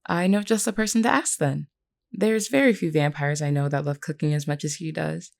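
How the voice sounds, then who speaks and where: A woman performs a vampire queen in an intimate audio roleplay. Her voice sits low for a woman and has a clear creak to it. She talks to one listener in measured, formal words, gentle and candid. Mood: warm, wry. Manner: measured, reassuring, formally worded.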